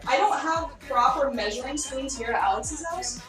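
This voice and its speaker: A woman's voice: sits high, normal speed, far from the mic. A young woman chatting with her chat as she cooks on a live stream in a friend's kitchen. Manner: chatty, reads out and answers chat. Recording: roomy, off-mic, with faint music. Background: faint music.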